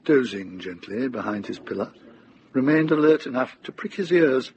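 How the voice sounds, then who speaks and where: A man narrating a radio drama as a calm, literary storyteller, unhurried and lyrical. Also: medium pitch, dull audio, a little hiss or hum, close to an everyday voice.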